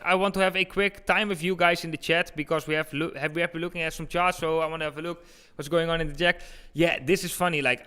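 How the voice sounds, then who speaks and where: Bright, clear-voiced man with Dutch accent. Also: a little high. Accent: eastern Dutch. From eastern Netherlands. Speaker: crypto trading livestream host. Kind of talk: live chart commentary and chat with viewers.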